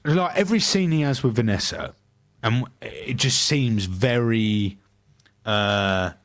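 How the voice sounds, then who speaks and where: A man's voice: mid-range voice, takes it slowly. A man co-hosting a podcast, talking hesitantly with many restarts in casual chat. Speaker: podcast co-host.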